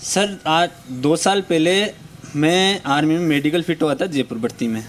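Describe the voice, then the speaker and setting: A man's voice: a little high, unhurried, a little hiss or hum. Impassioned young man pleading. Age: 20s. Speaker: army aspirant, protester. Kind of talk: street interview.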